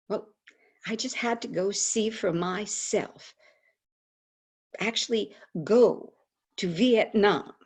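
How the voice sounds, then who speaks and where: An actress plays a celebrated advice columnist, a frank and wryly sorrowful woman confiding in a stage monologue. Her delivery is slow, with dramatic pauses and a very lively pitch. The voice is husky. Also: heavily acted. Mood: saddened. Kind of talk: theatrical monologue, staged reading. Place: indoors, remote video call. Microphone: video call microphone.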